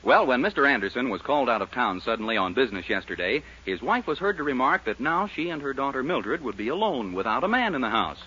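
A man's voice: medium pitch, big pitch swings, quick pace, hardly any pauses, bright, forceful tone. An American man announcing a 1940s radio show with polished, emphatic scripted delivery. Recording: dull 1940s radio recording.

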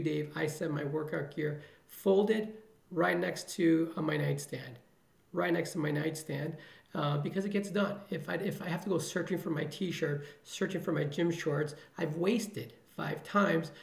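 A man's voice: a little high, vocal fry, echoey room. A middle-aged man from the Chicago area, an emergency physician giving earnest, motivational interview answers and repeating phrases for emphasis.

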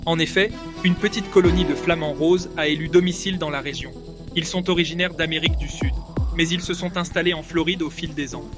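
A man's voice: fairly high pitch, steady tone, brisk. Man reading facts over music. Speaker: segment presenter. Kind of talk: scripted fact narration.

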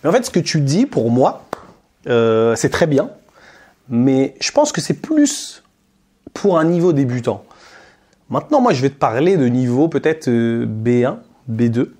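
A man with a mid-pitched voice interviews a fellow teacher. He talks casually and informally, with everyday slang. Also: very animated, unhurried, warm tone.

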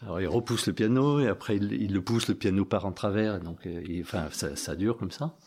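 Low-voiced hesitant French man. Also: fairly quick, darkish tone, slight background noise. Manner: hesitant, many restarts and fillers. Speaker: participant in a group discussion. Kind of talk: casual reflective talk.

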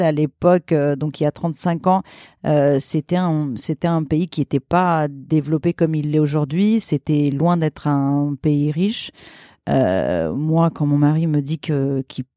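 A woman's voice: low voice, mellow, no echo, studio quality. A woman in her thirties from Portugal, a podcast guest chatting casually with many hesitations and frequent laughter. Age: 30s. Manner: chatty, many fillers and restarts, laughs often. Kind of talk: casual conversational storytelling.

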